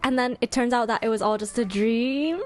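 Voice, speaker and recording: A woman streamer giving casual, chatty fan commentary to her live chat in an expressive, slangy way. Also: on the high side, brisk, soft background music. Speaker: streamer hosting a ranking video.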